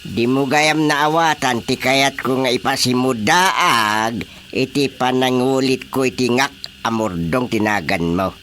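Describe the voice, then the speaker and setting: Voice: mid-range voice, measured pace, fairly bright tone, slight background noise, natural voice. An actor playing a pompous, easily exasperated king in an Ilocano comedy radio drama, scolding and blustering. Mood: haughty.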